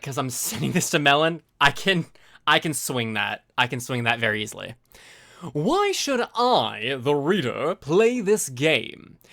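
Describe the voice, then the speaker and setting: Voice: medium pitch, pushed and bright. A streamer reading a review aloud in a casual live commentary style, breaking off for informal, sweary asides.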